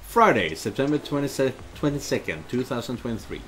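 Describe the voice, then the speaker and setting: A man in his thirties giving casual, halting let's play commentary and reading game text aloud. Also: medium pitch, very animated, a bit bright. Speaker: game streamer. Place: indoor room at home. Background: game music and rain sounds.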